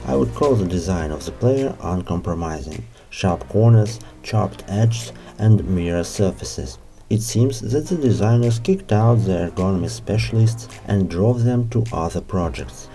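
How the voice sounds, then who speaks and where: Very dark-voiced man, scripted review narration. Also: on the low side. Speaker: host of an audio gear review show. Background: music.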